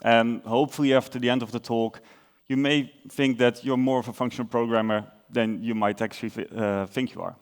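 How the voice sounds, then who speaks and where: A young man from the Netherlands with a Dutch accent, giving a conference talk in a relaxed, explanatory way with filler uhs and self-corrections. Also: medium pitch, fairly quick, clean tone. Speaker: conference speaker.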